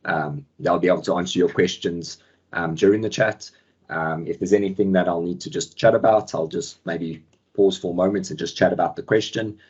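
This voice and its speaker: A man hosting a software webinar over a video call, his voice croaky from the flu, talking in a relaxed way with many ums. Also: low-pitched, brisk, lo-fi sound. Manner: relaxed, many ums, friendly filler words. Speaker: webinar host and product manager. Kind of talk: casual software webinar presentation. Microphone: video call microphone.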